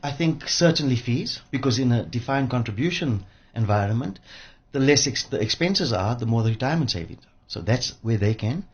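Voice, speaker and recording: A man speaking as an expert radio guest, emphatic and repeating phrases for effect, with a husky voice. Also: medium pitch, lively intonation, fairly quick. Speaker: interview guest, pensions industry expert. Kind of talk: radio interview. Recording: dull lo-fi radio audio.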